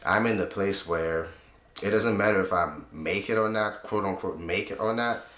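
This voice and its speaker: A man's voice: low voice, moderate pace, a bit bright, reverberant. A man in his twenties talking loosely and reflectively in a casual vlog, often tagging his points with "right?". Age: 20s. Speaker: vlogger. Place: room he uses as a home studio.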